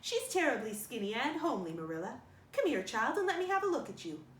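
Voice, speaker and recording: A woman's voice: fairly high pitch, sing-song, quick pace, reverberant, recorded from a distance, slight background noise. A woman voicing a blunt, nosy, disapproving older village woman in a storybook, with an emphatic, outspoken manner. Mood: blunt, scolding.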